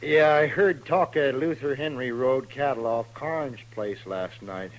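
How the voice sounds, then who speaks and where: A man's voice: medium pitch, unhurried, lightly acted, poor audio. An actor playing a suspicious, prickly frontier cattleman in a 1950s radio western, probing and touchy in folksy speech. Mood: sly, probing. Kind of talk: 1950s radio drama acting.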